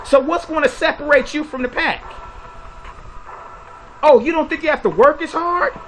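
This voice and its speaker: High, bright-voiced man lecturing bluntly. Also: moderate pace, slight background noise, rough recording. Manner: preachy, blunt, lecturing with rhetorical questions. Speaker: commentary video host. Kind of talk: opinionated commentary monologue.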